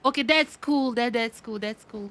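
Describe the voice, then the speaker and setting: High-voiced, bright woman streamer. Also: moderate pace. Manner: chatty, excitable, repeats phrases. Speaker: video game streamer. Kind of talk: casual live gameplay commentary with reactions. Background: game music.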